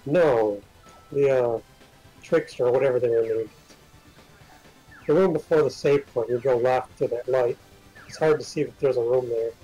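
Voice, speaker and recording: Male co-commentator, husky and mellow, casual game-tip chat with some music behind. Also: mid-range voice, moderate pace, long pauses, low-quality recording. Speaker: stream co-commentator.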